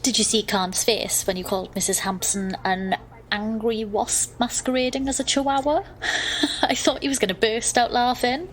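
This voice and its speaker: A woman's voice: fairly high pitch, steady tone, normal speed, slight background noise, lightly acted, low-quality recording. A woman acting in an audio drama as an exasperated, sarcastic, sceptical young best friend. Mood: teasing. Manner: dry, sarcastic. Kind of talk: audio drama dialogue and first-person narration.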